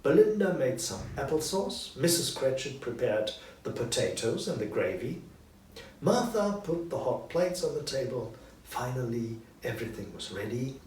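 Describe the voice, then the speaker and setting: Expressive male storyteller with animated pitch. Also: normal speed. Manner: dramatic, clearly phrased. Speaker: narrator, audiobook reader. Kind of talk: expressive scripted storytelling for language learners. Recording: roomy, distant, faint background noise.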